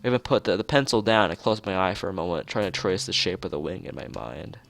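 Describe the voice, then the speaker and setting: Low, gravelly man reading a game aloud. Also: animated, quick pace, fairly bright tone. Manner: stumbles over the text and rereads words. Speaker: let's player reading a visual novel aloud. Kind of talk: informal read-aloud narration with off-the-cuff asides.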